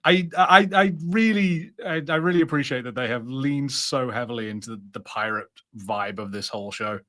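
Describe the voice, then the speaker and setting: A man's voice: mid-range voice, normal speed, a bit bright. A man co-hosting a casual review podcast, musing conversationally and a little hesitantly.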